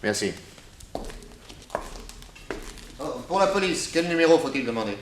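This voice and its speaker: An actor playing an assured, courteous private detective in an articulate, theatrical 1960s French radio serial style. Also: male voice, on the high side, very animated, fast, slight background noise, rough recording. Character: famous male private detective, assured, indignant under accusation.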